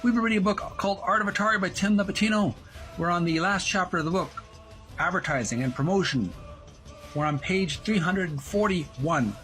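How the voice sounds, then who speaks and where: A man hosting a video channel, reading aloud and commenting in a halting way, often repeating and correcting his phrases. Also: high voice, a bit bright, soft background music, poor audio. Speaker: host of a retro video game channel. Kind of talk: read-aloud book walkthrough with commentary.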